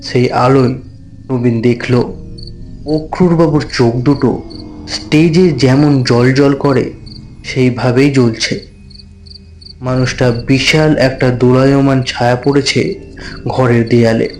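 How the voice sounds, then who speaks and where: Slow, suspenseful male story narrator. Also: medium pitch, fairly even intonation, a little mellow, soft background music, rough recording. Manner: deliberate, suspenseful pauses. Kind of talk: dramatic scripted horror story narration.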